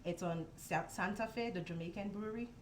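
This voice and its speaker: A young woman from Haiti, a food truck owner, explaining her dishes in a studio interview with soft, halting speech and many pauses. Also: mid-range voice, a little hiss or hum. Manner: soft-spoken, explains step by step with many ums. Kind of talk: casual interview.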